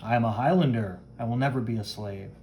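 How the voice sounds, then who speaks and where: A man's voice: mid-range voice, quick pace, dark tone, a little hiss or hum, close to an everyday voice. Comic-book warrior woman acted by a man: proud, formal, defiant, stab at a Scottish accent. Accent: attempted Scottish.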